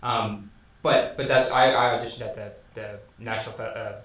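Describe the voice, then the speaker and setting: Young male co-host, halting questioner. Very echoey room, distant mic. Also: mid-range voice, fairly even intonation, a bit bright. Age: young adult. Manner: halting, restarts questions often. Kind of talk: casual interview chat. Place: dark studio room. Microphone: studio condenser mic on stand.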